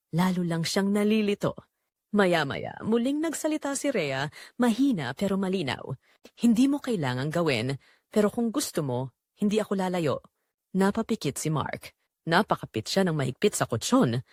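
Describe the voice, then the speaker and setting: A woman's voice: medium pitch, no room sound, studio quality. A woman narrating a scripted audio drama in a dramatic, suspenseful way.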